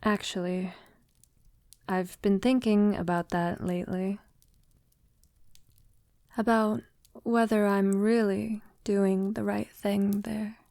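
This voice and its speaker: A woman playing a gentle, conscience-troubled vampire lover in an intimate ASMR audio roleplay, speaking softly, tenderly and hesitantly. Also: medium pitch, steady tone, slow, vocal fry, pauses often, no echo, studio quality. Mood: pensive, troubled. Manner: soft, tender, hesitant, often dropping to a whisper. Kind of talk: ASMR girlfriend roleplay, soft intimate acting.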